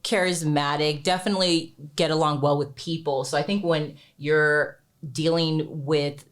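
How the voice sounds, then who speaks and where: A woman's voice: low voice, fairly even intonation, unhurried. A young woman attorney from Texas, chatting casually as a podcast guest and laughing easily.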